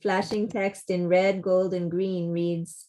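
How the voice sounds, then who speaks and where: A woman reading stage directions plainly and deliberately as narrator of a play table read. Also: lowish voice, little pitch movement, a crowd in the background. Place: remote video call.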